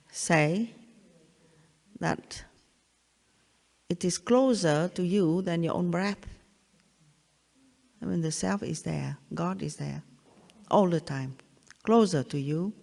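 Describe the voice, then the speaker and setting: Older Vietnamese-accented woman, spiritual teacher, halting and repetitive, long reverb of a large hall. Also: fairly low pitch, gravelly, dark tone. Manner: halting, repeats phrases, checks in with "yeah?". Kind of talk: satsang talk.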